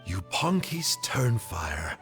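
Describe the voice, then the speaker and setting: An actor playing a thoughtful, bookish man in a video game, reading formally with measured drama. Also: fairly low pitch, very animated, fairly bright tone, soft background music, heavily acted. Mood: solemn. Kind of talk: video game character acting, scripted reading.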